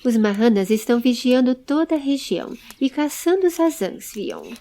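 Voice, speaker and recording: Uma mulher interpretando uma senhora calma e cuidadosa, que fala sem alarde e tranquiliza, numa história em áudio. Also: female voice, a little high, normal speed. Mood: tranquilizadora. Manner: unalarmed, reassuring. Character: calm, caring lady of the treehouse.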